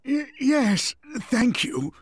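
An actor playing a polite, grateful elderly villager in an animated video game. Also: high-pitched, drawn-out words, slight background noise, full character voice. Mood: grateful, solemn. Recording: loud music behind the voice.

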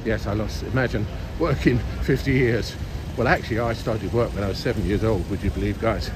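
A man's voice: fairly low pitch, fairly quick. An older man in his late sixties or above chatting casually and ramblingly to viewers as he walks outdoors through a city. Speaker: live-streaming city walk vlogger. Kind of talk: casual rambling chat to viewers while walking. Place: outdoors on busy city streets. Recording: clear street and traffic noise. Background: urban street ambience, vehicles.

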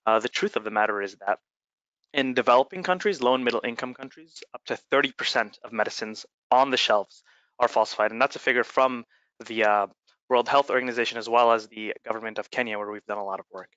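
A man's voice: mid-range voice, fast, fairly bright tone, no echo, lo-fi sound. A man from Egypt, a startup founder, giving an earnest webinar presentation with many fillers.

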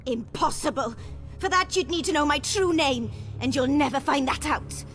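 A woman's voice: high-pitched, animated, normal speed, a bit bright, slight background noise. A woman playing a haughty, menacing demon villainess in an audio drama. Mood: haughty defiance. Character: scheming, gloating female witch's demon, haughty villain.

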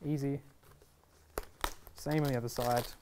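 A man's voice: medium pitch, fairly even intonation, a little slow, noisy background. A man in his twenties presenting a casual step-by-step product tutorial in a reassuring, easygoing way. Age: 20s. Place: indoor shop video studio, standing at a table.

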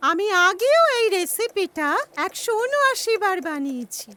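Very high-pitched cartoon grandma. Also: female voice, big pitch swings, unhurried, no echo, heavily acted. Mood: puzzled, steady. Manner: affectionate, gently instructing. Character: kindly patient grandmother baking with her grandchildren. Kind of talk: children's cartoon dialogue.

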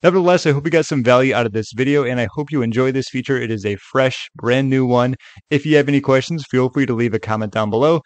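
A man's voice: mid-range voice, fast, no echo. A man hosting a casual screen-share tutorial in a chatty way, with joking asides. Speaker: tutorial video host.

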